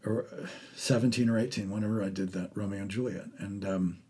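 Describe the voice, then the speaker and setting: Male interview host, slightly deep, husky, casual, halting delivery. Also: steady tone, mellow. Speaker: host and theatre director-producer.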